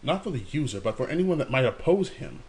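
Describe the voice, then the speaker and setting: A man playing a skeptical, quietly menacing associate in an audio drama, speaking in a deliberate, sardonic, cautioning way. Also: medium pitch, very animated, a little hiss or hum. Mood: challenging. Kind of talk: amateur audio drama acting.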